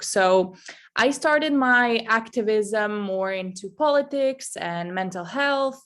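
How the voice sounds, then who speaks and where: A nineteen-year-old woman from Serbia, giving an informal personal talk as a youth advocate in a conversational, self-correcting way. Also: mid-range voice. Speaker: youth advocate and student speaker.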